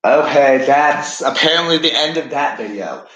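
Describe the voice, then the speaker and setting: A man's voice: medium pitch, lively intonation, normal speed, a bit bright, rough recording. A nineteen-year-old man rambling through a casual reaction vlog at home, musing and questioning himself as he talks. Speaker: vlogger.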